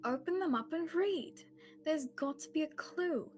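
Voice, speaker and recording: Young actress as a determined high-voiced girl sleuth. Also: unhurried. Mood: insistent. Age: young adult. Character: determined, emotional young woman turned amateur sleuth. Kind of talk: radio theatre acting.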